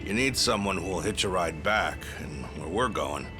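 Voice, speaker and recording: A man's voice: low-pitched, expressive, moderate pace, music in the background. A man playing a chatty, folksy, laid-back taxi driver in a video game, rambling along informally. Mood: chatty, easygoing. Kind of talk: English video game cutscene acting.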